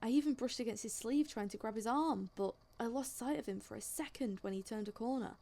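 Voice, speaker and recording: A woman's voice: fairly high pitch, a little fast, gravelly, dark tone. A woman playing an indignant, sarcastic young witness in an audio drama, speaking in a colloquial, exasperated way. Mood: wry recounting. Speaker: voice actor in a fan audio drama. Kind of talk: audio drama acting, conversational monologue.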